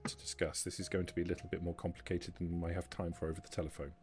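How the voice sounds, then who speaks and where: A man voicing a formal, guarded vampire woman who is quietly plotting to defect, speaking in measured, deliberate phrases. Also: sits low, level tone, soft background music, natural voice. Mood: cool, conspiratorial.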